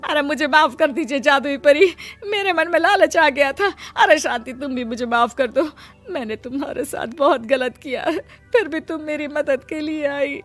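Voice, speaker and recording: A woman's voice: high-pitched, normal speed, a bit bright, faint music behind, pitched above the performer's own voice. A woman voicing a greedy, scheming village woman in a cartoon story, wailing and pleading.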